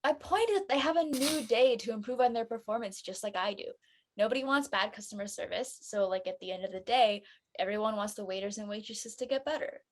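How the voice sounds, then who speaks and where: A woman's voice: a little high, a little fast, lightly acted. A woman playing an earnest, explanatory teenage girl who talks in casual slang in a drama read. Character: earnest churchgoing teenage girl, preachy friend.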